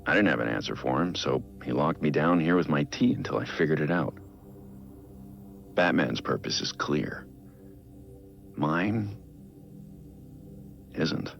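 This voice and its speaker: A brooding video game vigilante doing an audio log, super deep gravelly voice, measured n earnest, bit muffled w music under. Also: male voice, animated, heavily acted. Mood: weary, introspective. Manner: measured, reflective, confiding.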